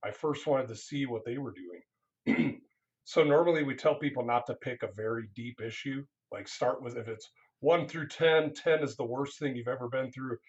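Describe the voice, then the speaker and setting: A man's voice: mid-range voice, normal speed, lo-fi sound. A man, a counseling professor, speaking earnestly in a casual interview, often restarting his sentences.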